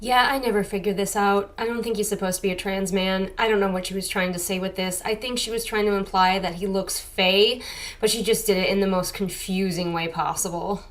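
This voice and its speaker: A woman with a mid-range voice hosts a casual read-along review. She is sarcastic and puts animated, mocking emphasis on her points as she comments. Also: medium pitch, fairly quick. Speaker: book reviewer and host.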